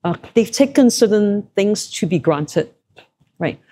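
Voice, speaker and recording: A woman's voice: medium pitch, lively intonation, a little slow, warm tone. A middle-aged woman from Southeast Asia, a former executive, answering interview questions in a reflective, conversational way with frequent fillers.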